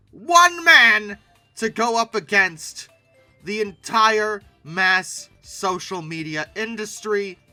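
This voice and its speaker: A man's voice: high voice, pushed and bright, dry recording. A man giving casual, humorous commentary in a theatrical way, repeating words and adding mock-exasperated asides. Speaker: host reviewing old paleoart.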